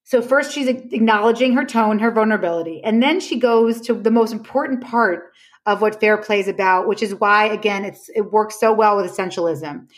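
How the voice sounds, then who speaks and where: A woman's voice: medium pitch, moderate pace. A woman explaining her ideas conversationally as a podcast guest, telling anecdotes emphatically and acting out reported dialogue.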